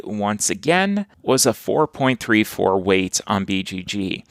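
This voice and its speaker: A man hosting a solo podcast, giving a steady, conversational review of board games. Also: mid-range voice, clear, resonant tone, dry recording, studio quality. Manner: steady explanatory monologue, plain and conversational. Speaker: podcast host.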